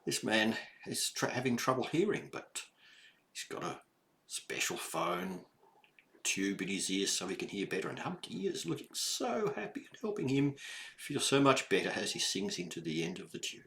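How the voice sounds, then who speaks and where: An older man reads a children's story aloud in an animated way, pausing to explain and to ask the young listener questions. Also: mid-range voice, vocal fry.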